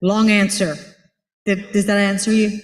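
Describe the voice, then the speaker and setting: A woman's voice: mid-range voice, flat delivery, unhurried. A woman from Rico, speaking earnestly to an audience and searching for her words as she answers a question. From Puerto Rico. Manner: earnest, searching for words, builds ideas with everyday examples. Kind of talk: unscripted conference talk. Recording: echoey hall with long reverb.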